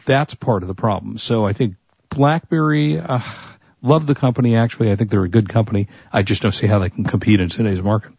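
A man's voice: on the low side, fairly quick, vocal fry, a little mellow, slightly rough, dry recording, lo-fi sound. A man co-hosting a casual tech show and losing his voice, chatting through product picks and tech news. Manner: chatty, offers product rundowns and opinions.

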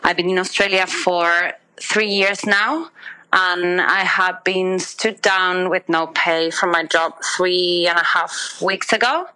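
A woman, her voice sitting low but sounding bright and forward. She talks fast and candidly, with fillers and restarts. She is heard over a phone line. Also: steady tone, smooth. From Argentina. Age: 20s. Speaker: interviewee, migrant worker. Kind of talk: phone interview, personal story. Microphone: phone call.

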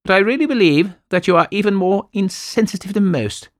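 A shrill self-pitying wife, super theatrical, high pitched and all over the place. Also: male voice, lively intonation, a little fast, no echo, studio quality. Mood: plaintive. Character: fretful self-pitying middle-aged wife, dramatic invalid.

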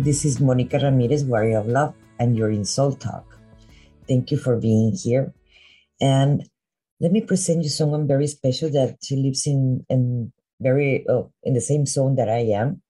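Mellow, husky-voiced woman hosting a podcast. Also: a bass voice. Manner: unhurried, with small restarts and searching for words. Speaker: podcast host. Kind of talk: warm spoken podcast introduction.

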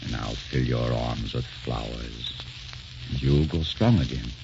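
An actor playing a grieving, tormented poet husband with a brooding, melodramatic delivery in the style of 1940s radio drama. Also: male voice, extremely low pitch, measured pace, creaky, noisy background, heavily acted. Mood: tender, sad. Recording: muffled old 1940s radio recording, music behind.

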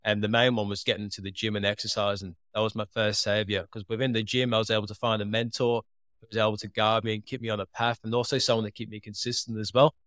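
A young man from Liverpool, now living in Australia, tells his story in a steady, earnest way. His voice is low and clear, with very little rise and fall, and it sits close and dry in the recording. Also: fast talker, no echo. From England. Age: 20s. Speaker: podcast guest. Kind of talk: casual interview talk.

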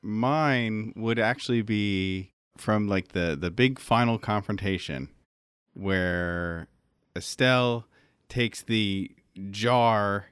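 Man, lowish clear voice, casual, halting delivery, dry close sound. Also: a bit bright, no room sound. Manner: halting, searching for words. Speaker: game keeper, cast member. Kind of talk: casual chat.

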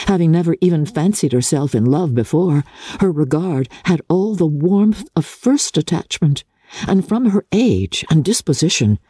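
Husky, mellow woman narrating a classic novel. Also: low-pitched, dry recording, clean studio sound. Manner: measured, formal, expressive. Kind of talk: dramatic literary audiobook narration.

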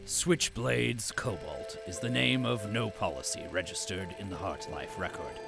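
A man's voice: sits low, lively intonation, measured pace, creaky, loud music behind the voice, full character voice. A man playing a stern, pompous corporate propaganda announcer, narrating an audio drama with theatrical menace. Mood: grave, ominous. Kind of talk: dramatic scripted radio narration.

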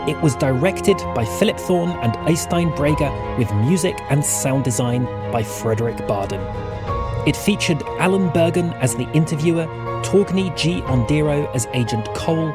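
A man reading scripted end credits and announcements for a fiction podcast. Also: on the high side. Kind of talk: scripted narration. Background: loud music.

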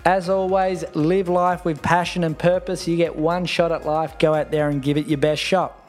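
A man's voice: on the high side, level tone, moderate pace, over music. Upbeat male podcast host. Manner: upbeat, enthusiastic introductions. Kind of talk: podcast interview.

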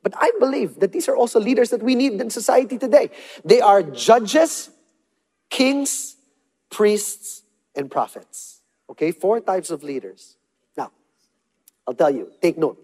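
A man preaching a sermon, emphatic and calling out to the congregation, swinging between punchy loud lines and hushed soft ones. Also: high-pitched, very animated, measured pace, pauses often. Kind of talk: sermon with call-and-response. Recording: long hall reverb.

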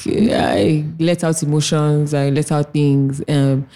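A woman, her voice very low and mellow, co-hosts a casual podcast. She rambles loosely through her stories in easy banter. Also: steady tone, slow. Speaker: podcast co-host. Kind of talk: casual chat.

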